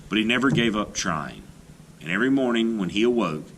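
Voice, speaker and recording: A man reading a children's folk tale aloud like a teacher, with deliberate, expressive phrasing. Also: lowish voice, a little hiss or hum, rough recording. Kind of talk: storybook read-aloud narration.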